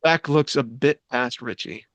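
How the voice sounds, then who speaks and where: A man's voice: medium pitch, measured pace, a bit bright, dry recording, dull audio. A man reading the stage directions aloud at a script table read, with the odd stumble and laugh. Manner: reads stage directions with self-corrections and laughs.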